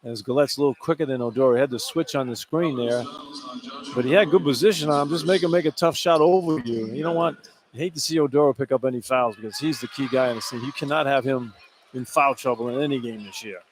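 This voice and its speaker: A man's voice: mid-range voice, fast, crowd noise. A man giving colloquial, opinionated color analysis during a live radio basketball broadcast from an indoor arena. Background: clear arena noise.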